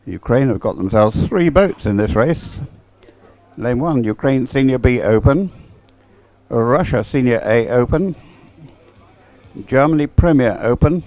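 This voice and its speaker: A man with a medium-pitched voice calls races live. He sounds conversational and somewhat hesitant. He tends to think aloud as he describes what is in front of him. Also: dark tone. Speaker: race commentator. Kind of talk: live race commentary.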